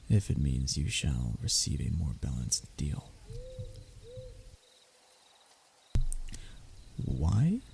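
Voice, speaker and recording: A young prince acted by a man with a low, swooping voice, in an intimate fantasy roleplay. He talks in pompous, courtly phrases that keep tripping into flustered stammering, stubborn and lovestruck. There is faint noise behind him. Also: gravelly, warm tone, exaggerated voice. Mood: flustered, formal. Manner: pompous formal wording that falters into nervous stammering. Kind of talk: roleplay audio drama, addressed to the listener.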